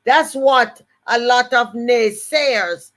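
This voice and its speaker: A woman's voice: fairly high pitch, takes it slowly, a bit bright. An older woman with a Jamaican accent giving a motivational live-stream talk, emphatic and repeating her key phrases. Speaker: motivational speaker.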